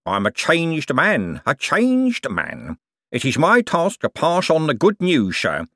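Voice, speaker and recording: A man's voice: fairly high pitch, huge pitch swings, a bit bright, dry recording, studio quality, pitched above the performer's own voice, full character voice. Man playing a wheedling, sanctimonious old con-man preacher. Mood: pious wheedling. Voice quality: mushy speech with whistling false teeth. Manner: solemn, drawn-out, wheedling. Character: old male con man posing as pious, repentant preacher.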